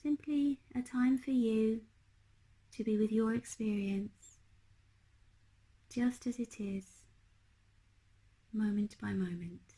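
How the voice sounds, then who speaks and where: A woman leading a guided meditation as a teacher, speaking in a gentle, unhurried, hushed manner. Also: on the high side, steady tone, slightly rough, poor audio.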